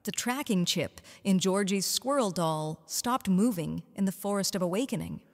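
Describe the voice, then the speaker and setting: A woman's voice: mid-range voice, lively intonation, normal speed, close to an everyday voice. Resentful female informant, played by a woman: earnest, wounded, mid-range voice in an echoing hall. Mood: dutiful, hurt. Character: bitter, betrayed wife serving a tyrant as informant. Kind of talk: audio drama acting. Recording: long reverberant hall.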